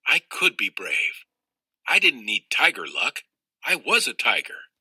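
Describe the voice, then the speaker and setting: A man reading a children's novel aloud in an expressive storytelling style. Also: mid-range voice, measured pace, breathy edge, no room sound, clean studio sound. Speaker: audiobook reader of a children's novel.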